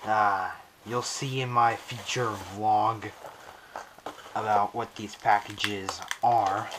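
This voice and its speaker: Slow-talking man, noisy amateur recording. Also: fairly low pitch, fairly even intonation. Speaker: vlogger unboxing a weather radio. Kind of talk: casual hands-on unboxing narration. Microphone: action camera on head strap, later monopod.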